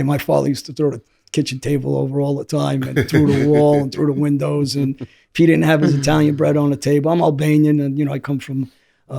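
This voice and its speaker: A middle-aged man with a hoarse, gravelly voice, telling his story as an interview guest in a rambling way that often restarts. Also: mid-range voice, mellow. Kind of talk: candid storytelling.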